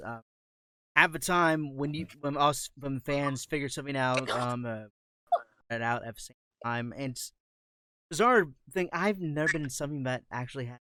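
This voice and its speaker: A man's voice: medium pitch, sharp tone, smooth. A man talking casually as a voice actor on a group panel held over an online call.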